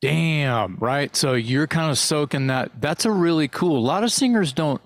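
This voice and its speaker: A man co-hosting a podcast, talking in a rambling, halting way with casual swearing. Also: a little high, lively intonation, dry recording. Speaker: podcast co-host. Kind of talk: casual interview chat.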